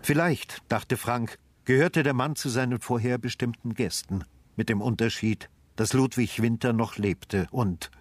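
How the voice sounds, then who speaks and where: A man's voice: medium pitch, a little slow, no room sound, lightly acted. A male actor as the sober, reflective narrator of a crime radio drama, reading literary third-person prose. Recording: loud music under the voice. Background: music.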